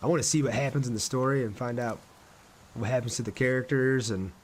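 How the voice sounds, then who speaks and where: A man's voice: mid-range voice, steady tone, quick pace, a little hiss or hum. Casual man commentating on gameplay. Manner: informal, thinking aloud, reading out chat. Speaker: live game streamer.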